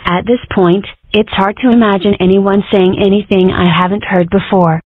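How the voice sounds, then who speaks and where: A woman voicing a young, skeptical fox girl in a cartoon comic dub, with wry, deadpan delivery. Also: medium pitch, normal speed, breathy edge, no room sound, natural voice. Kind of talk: scripted comic-strip dub. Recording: dry, tinny phone-like audio.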